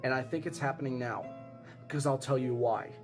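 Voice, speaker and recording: A man playing an earnest eyewitness who tells a grave disaster theory in an insistent, suspenseful way with dramatic pauses. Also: mid-range voice, steady tone, lightly acted. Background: music behind the voice.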